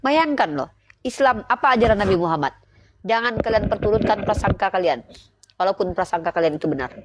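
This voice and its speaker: A woman's voice: mid-range voice, lively intonation, fairly quick, noisy background. A woman, speaking didactically in a live online religious debate and putting rhetorical questions to listeners. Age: 30s.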